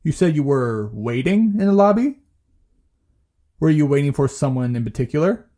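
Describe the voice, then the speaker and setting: An actor as a male therapist with a dark, relaxed voice set a little high for a man. He is calm and patient, probing gently in measured questions. The delivery is that of a naturalistic audio play. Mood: patient, curious. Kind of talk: naturalistic audio drama dialogue.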